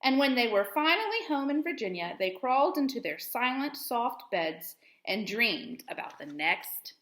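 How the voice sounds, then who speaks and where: A woman teacher reading a storybook aloud to children with expressive, deliberate storytelling phrasing. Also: fairly high pitch, echoey room, a little hiss or hum.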